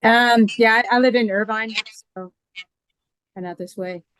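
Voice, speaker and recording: A woman bassist from Oklahoma, speaking softly and trailing off. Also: steady tone, normal speed, clean tone, no echo. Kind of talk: casual interview.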